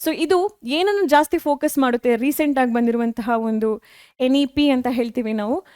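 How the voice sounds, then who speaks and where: A woman's voice: high-pitched, moderate pace, a little mellow, clear, resonant tone, no echo, studio quality. A woman with a Kannada-speaking South Indian accent, giving an educational lecture as an online teacher, explaining directly to students and mixing in English phrases.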